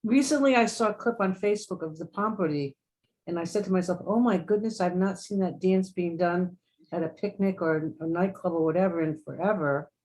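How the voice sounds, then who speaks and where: A woman's voice: lowish voice, fairly quick, darkish tone, low-quality recording. A woman musing conversationally as a participant in a casual discussion on a video call. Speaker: participant commenting.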